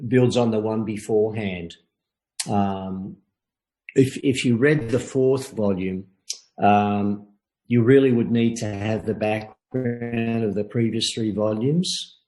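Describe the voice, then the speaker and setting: An older man coaching a group in a webinar, talking in a measured, thoughtful way with frequent pauses. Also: lowish voice, fairly even intonation, dark tone, poor audio. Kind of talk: informal lecture and Q&A.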